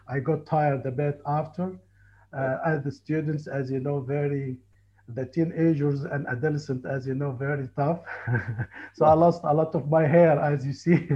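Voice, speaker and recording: A man in his forties from Egypt with an Egyptian accent, telling his life story in a halting, earnest way over a call. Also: on the high side. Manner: halting, earnest, frequent restarts. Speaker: tour guide and Egyptologist. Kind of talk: casual interview on a call. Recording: muffled lo-fi call audio.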